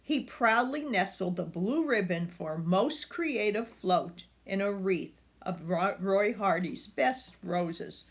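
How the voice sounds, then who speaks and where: A woman's voice: mid-range voice, animated, slow pace, few pauses. An older woman reading a children's story aloud, with asides to her young listeners. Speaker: storybook reader.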